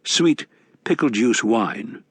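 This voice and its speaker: A man voicing a guarded, plain-spoken ex-convict with a deadpan, understated manner in an audiobook. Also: mid-range voice, takes it slowly, breathy edge, dry recording. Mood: wary. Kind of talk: audiobook character acting.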